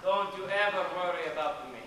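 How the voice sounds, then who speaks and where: Deliberate old grandfather acted by a man, high-pitched, amateur theater, very echoey distant recording. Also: bright, forceful tone. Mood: reassuring. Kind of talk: amateur stage play acting. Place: theater stage, far camera. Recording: hall echo, distant noisy stage recording.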